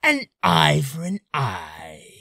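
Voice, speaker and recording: A man's voice: fairly high pitch, wildly swooping pitch, slow pace, creaky, sharp tone, no room sound, studio quality, exaggerated voice. A man voicing a haughty ancient demonic monster with grandiose, sinister relish for a voice reel. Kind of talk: character voice reel.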